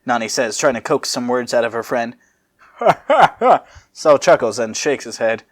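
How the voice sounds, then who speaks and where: A man's voice: mid-range voice, big pitch swings, measured pace. A man hosting a casual comedic story reading in a jokey, informal manner. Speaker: fan fiction reader and host.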